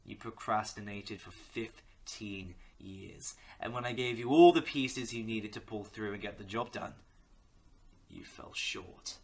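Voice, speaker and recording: A man's voice: lowish voice, measured pace, creaky. A man playing an arrogant, menacing crime boss in an audio roleplay, speaking with theatrical condescension. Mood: gloating. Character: menacing, arrogant male crime boss, smug mentor turned captor. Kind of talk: audio roleplay villain acting.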